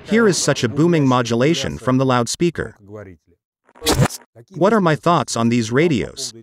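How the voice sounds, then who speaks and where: Middle-aged male reviewer, Russian accent, animated pitch movement, explanatory delivery to camera. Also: moderate pace, crowd noise. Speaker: host of a radio review channel.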